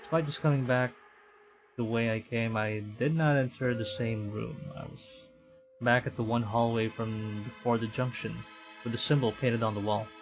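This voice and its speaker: A man's voice: medium pitch, fairly even intonation, normal speed, over music, natural voice. A man reading the first-person narration of an uneasy young heroine in a visual novel. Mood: uneasy, subdued.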